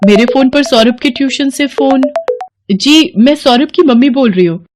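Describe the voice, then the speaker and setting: A woman playing a concerned, gently advising mother in a children's moral story. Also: sits high, moderate pace, faint music behind, voice pushed up, lightly acted. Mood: worried.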